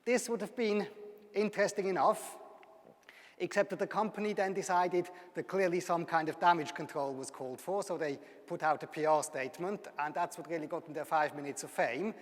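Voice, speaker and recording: A man's voice: a little high, brisk. A man with a Swiss German accent giving a public lecture, telling stories with dry humour. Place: large lecture hall. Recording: hall reverb.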